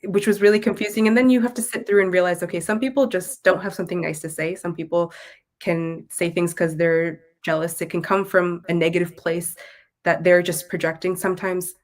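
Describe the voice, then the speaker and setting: A woman's voice: fairly low pitch, fairly even intonation, brisk. A woman with a Canadian accent speaking as an interview guest in a reflective, articulate, conversational way. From Toronto, Canada. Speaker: guest, content creator and Tamil language teacher.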